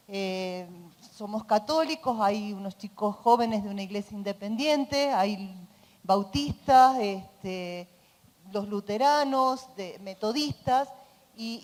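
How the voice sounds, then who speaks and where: A woman's voice: on the high side, darkish tone. A woman making an earnest, reflective, spontaneous comment from a conference audience. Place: large conference hall. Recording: echoey hall.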